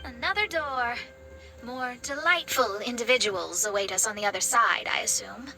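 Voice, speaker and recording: A woman's voice: on the high side, normal speed, music in the background, lightly acted, rough recording. An actress playing a proud, self-assured young woman in a video game, with a haughty, formal way of talking. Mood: composed.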